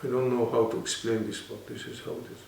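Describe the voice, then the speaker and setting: A man's voice: medium pitch, level tone, fast, gravelly, darkish tone, slight background noise. A man with an Arabic accent, speaking non-native English and explaining earnestly as a guest in a serious interview. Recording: echoey room, mic at a distance.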